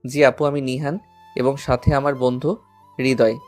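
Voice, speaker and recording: Man acting an earnest lovesick schoolboy, even-toned. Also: mid-range voice, moderate pace, faint music behind, close to an everyday voice. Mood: nervous, polite. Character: lovesick, devoted schoolboy, respectful to elders. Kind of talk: Bengali audio story acting.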